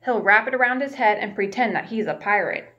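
A woman's voice: mid-range voice, highs cut off. A young woman reading a picture book aloud to small children, like a preschool teacher asking them questions as she goes, recorded in a small room at home on a webcam. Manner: warm teacherly, asks the children questions and pauses. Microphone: webcam at arm's length.

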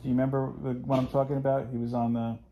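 A man's voice: mid-range voice, level tone, rapid-fire, muffled recording, a little hiss or hum. A man in his forties, hosting a casual home video, talking in a rambling, chatty way with plenty of fillers. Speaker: host. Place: living room at home, indoors.

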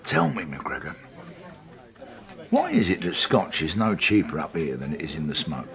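An actor playing a grumpy, greedy older English police chief inspector, gruff and sardonic in a radio drama. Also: male voice, a bass voice, sing-song, moderate pace, a bit bright, exaggerated voice. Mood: brusque.

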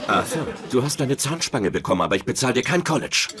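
An actor playing a sarcastic, blunt, grumbling husband in a dubbed sitcom. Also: male voice, medium pitch, very animated, quick pace, a crowd in the background, natural voice. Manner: sardonic, blunt. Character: sarcastic, grumbling working-class husband, adult man. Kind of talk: German-dubbed sitcom acting. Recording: loud noise, clicks.

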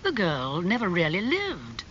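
A man voicing a suave, worldly gentleman in an audiobook, calm, languid and cynical as he talks. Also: high-pitched, measured pace, lo-fi sound, a little hiss or hum, exaggerated voice. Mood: detached. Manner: calm, smooth-talking, languid.

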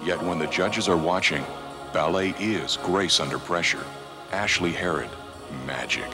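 A man narrating a sports promo in a deep, dramatic way. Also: a little slow. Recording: music bed, distant sound, VHS tape.

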